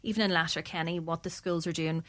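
Irish woman interviewer, lowish voice, chatty prompting questions. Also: steady tone, fast talker, fairly bright tone. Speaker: radio interviewer. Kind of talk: radio interview.

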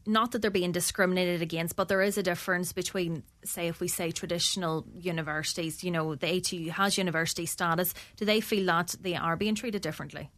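A woman's voice: fairly low pitch, fairly even intonation, quick pace, hardly any pauses, fairly bright tone. An Irish woman interviewing a guest for radio, asking questions in a conversational, prompting way.

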